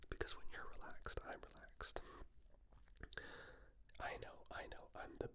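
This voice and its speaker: A man playing an affectionate, playfully cocky boyfriend in a breathy, intimate whispered ASMR roleplay. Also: bright, forceful tone. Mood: soothing, teasing. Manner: intimate, teasing, self-satisfied, slow.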